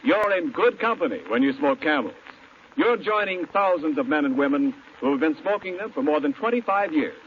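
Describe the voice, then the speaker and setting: A male radio announcer reading a 1940s sponsor pitch in an emphatic, salesmanlike way. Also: animated, fairly quick. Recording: noisy, muffled 1940s radio broadcast.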